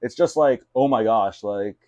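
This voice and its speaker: A man's voice: lowish voice, moderate pace, darkish tone, no echo, lo-fi sound. Young man hosting a casual podcast chat. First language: Mandarin. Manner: rambling, filler-heavy, many restarts. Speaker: podcast host.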